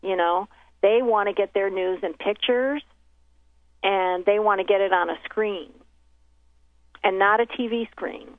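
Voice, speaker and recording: A woman's voice: mid-range voice, measured pace, pauses often, no room sound. A woman who grew up in Haiti with Haitian Creole as her first language, speaking as an interview guest over the phone. She rambles through thoughts with many restarts and fillers, her voice thin and tinny, dry with no room around it. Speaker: guest journalist. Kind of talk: casual interview talk.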